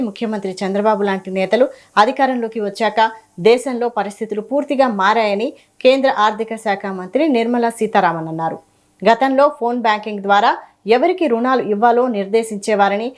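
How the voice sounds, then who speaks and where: A woman news reader delivering a scripted report in a brisk, steady manner. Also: fairly high pitch.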